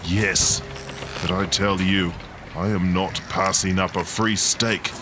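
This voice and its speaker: An actor playing a greedy, theatrical squid-boat skipper in a comic radio drama. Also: male voice, sits low, fairly even intonation, takes it slowly, noisy background. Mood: scheming. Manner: theatrical, exclamatory.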